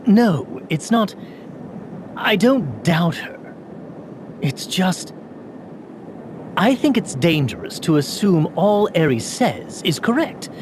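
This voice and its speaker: An actor playing a troubled, earnest young man in a video game, with a halting, dramatic delivery. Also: high voice, sing-song, noisy background, exaggerated voice. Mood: hesitant, uneasy. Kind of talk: English video game voice acting.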